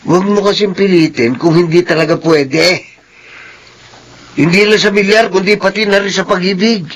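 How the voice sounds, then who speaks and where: A man's voice: high voice, moderate pace, a bit bright, rough recording. A man acting a frank young buddy who chides his friend in a radio drama, over faint background ambience. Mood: chiding.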